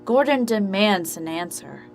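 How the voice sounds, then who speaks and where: A woman's voice: mid-range voice, big pitch swings, unhurried. Woman narrating drama, faint hum. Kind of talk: scripted story narration.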